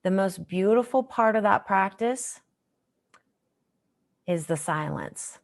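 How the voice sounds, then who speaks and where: A woman teaching a guided meditation in a gentle, measured, instructional manner. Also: mid-range voice, animated, gravelly. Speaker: meditation teacher, session host.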